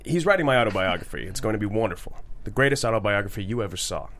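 A man's voice: fairly low pitch, expressive, racing, a bit bright, slight background noise. A man playing a crass, boastful tycoon in his fifties at a comedy table read, bragging and dismissive. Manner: bragging, dismissive, superlative-heavy. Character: crass, boastful 55-year-old male tycoon.

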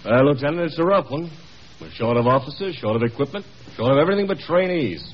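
An actor playing a stern, curt army colonel with commanding authority in a 1950s radio drama. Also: male voice, a little high, expressive, quick pace, husky. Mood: brisk, businesslike. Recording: muffled old 1950s radio broadcast.

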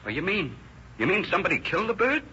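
An excitable, high-pitched, stammering firefighter. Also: male voice, quick pace, heavily acted. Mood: puzzled. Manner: stammering, repeats himself. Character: excitable, slow-witted male firefighter reporting to his chief. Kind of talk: 1930s radio drama acting. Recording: dull, echoey old radio recording, faint noise.

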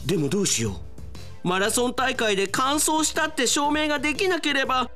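Man acting a panicky young slacker, high strained whine, exaggerated swoops, comic radio drama, faint music. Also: expressive, a little fast, bright, forceful tone, full character voice. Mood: whiny worry. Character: whiny, panicky game-loving young slacker.

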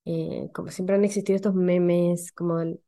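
A young Chilean woman hosts a reflective podcast in a slow, soothing way. Her voice is mellow and sits fairly low, with a flat, even pitch and an unhurried pace. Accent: Chilean Spanish. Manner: slow, gentle and soothing, guides breathing. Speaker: podcast host. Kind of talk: calm reflective podcast monologue.

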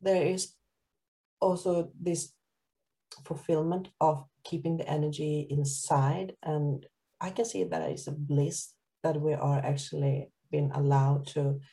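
A woman interviewing a guest in a calm, reflective way, with slightly non-native phrasing. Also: deep voice, slow pace, rough recording. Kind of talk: casual conversational interview.

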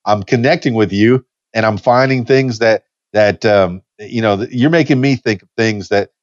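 A man's voice: lowish voice, moderate pace, no room sound, highs cut off. A man in his fifties hosting a casual podcast chat, easygoing and rambling, with frequent restarts. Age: 50s. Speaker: podcast host.

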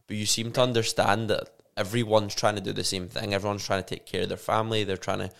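A man's voice: lowish voice, level tone, fast talker, vocal fry, a bit bright. A man hosting a podcast, asking questions in a friendly, enthusiastic way. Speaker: podcast host. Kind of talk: casual interview hosting.

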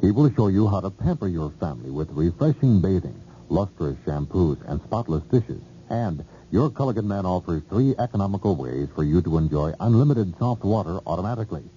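Male American announcer for radio ad copy; low and animated, muffled vintage recording with some hiss. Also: a little fast, few pauses, breathy edge. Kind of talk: scripted radio advertisement read.

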